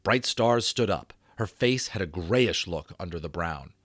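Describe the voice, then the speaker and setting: A man giving a dramatic audiobook reading as storyteller, with expressive, varied delivery. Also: low-pitched, unhurried, dry recording, studio quality.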